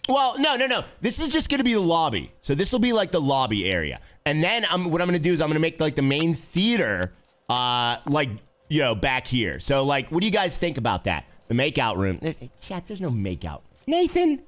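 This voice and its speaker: A man with a raspy throat, streaming a game casually and chatting with viewers as host. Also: on the high side, a little fast. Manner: animated, talks to chat and reads comments aloud.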